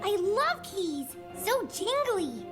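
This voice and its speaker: Squeaky, excitable little boy bee. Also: slow pace, heavily acted. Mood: gleeful. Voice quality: squeaky, childlike. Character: excitable, innocent little boy bee, cheerful detective sidekick. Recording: music behind the voice.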